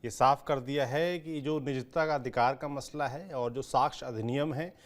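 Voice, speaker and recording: Male news anchor, mid-pitched voice, explanatory news presenting. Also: steady tone, moderate pace.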